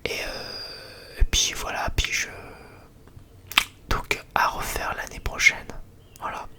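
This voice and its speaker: A man's voice: slow pace, pushed and bright. A man whispering breathily in a casual, unscripted ASMR chat, rambling and hesitating as he talks. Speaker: ASMR video creator.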